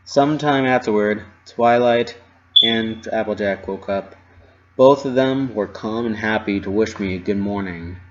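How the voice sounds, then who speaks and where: A man narrating a first-person fan fiction story with an expressive, dramatic delivery. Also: lowish voice, a little slow, lo-fi sound, background noise.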